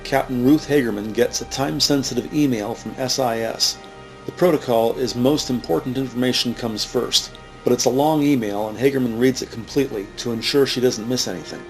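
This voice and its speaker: A man narrating a scripted crime drama in a measured, matter-of-fact way. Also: mid-range voice, poor audio. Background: music.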